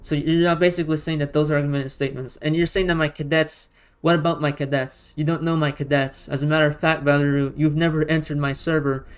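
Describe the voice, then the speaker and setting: A man's voice: on the high side, little pitch movement, fast, clear, resonant tone. A man, a non-native speaker whose first language is Romanian or Russian, delivering argumentative response commentary in an exasperated, combative way, leaning on emphatic rhetorical questions. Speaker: online video commentator.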